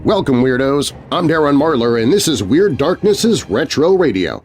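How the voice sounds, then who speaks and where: A man's voice: medium pitch, very animated, moderate pace, a little mellow. A man reading a scripted podcast introduction as host, with a theatrical horror-show presenting style. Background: music.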